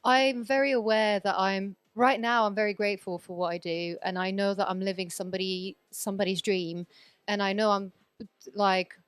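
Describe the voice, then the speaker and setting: A woman's voice: medium pitch, steady tone, moderate pace, smooth, no echo. A woman in her thirties from Poland, raised in Sweden, talking as a guest DJ in a casual podcast interview, chatty and rambling, full of fillers and restarts.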